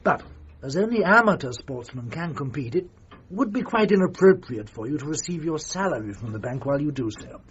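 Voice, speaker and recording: A pompous British merchant banker in a radio play. He is patronising and measured, with a lively, swooping melody. The recording is slightly muffled. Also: a little high. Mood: smug. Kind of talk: radio drama acting. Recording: muffled, faint noise.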